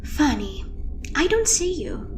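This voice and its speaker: A woman playing a clever, quietly confident adventurer with a dry, knowing manner in an audio drama. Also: sits high, sing-song, slow, heavy background noise, full character voice. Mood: brisk, wry.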